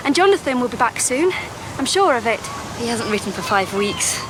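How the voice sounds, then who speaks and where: A woman's voice: fairly high pitch, very animated, moderate pace, noisy background. An actress playing a thoughtful, worried young Victorian woman and loyal friend in an audio drama. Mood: wistful, worried.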